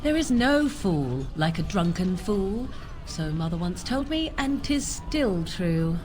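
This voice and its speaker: A woman playing an aloof, sardonic young witch in a fantasy video game, with haughty, archaic diction and a husky voice. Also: fairly low pitch, sing-song, slow. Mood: scornful.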